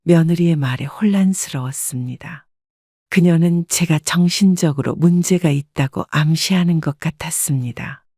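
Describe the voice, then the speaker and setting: A woman narrating a first-person family story in a measured, subdued reading. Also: low-pitched, mellow, dry recording, studio quality.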